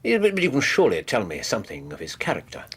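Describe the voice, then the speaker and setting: An actor playing a brisk, imperious Victorian detective with an English accent, articulate and formal in a British radio drama. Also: male voice, on the low side, huge pitch swings, fairly bright tone, husky, heavily acted. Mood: coaxing. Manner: articulate, probing, formal phrasing.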